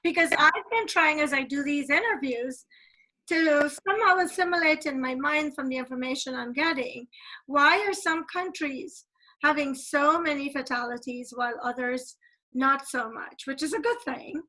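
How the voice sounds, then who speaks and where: Interviewer from Guyana, high bright female voice, chatty and earnest over a video call. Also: normal speed, low-quality recording.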